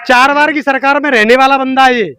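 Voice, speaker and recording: A man's voice: extremely high pitch, fairly even intonation, brisk, slight background noise. A man doing informal political street interviews, pressing people with probing questions. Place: outdoor public ground among a crowd. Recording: loud crowd around an outdoor microphone.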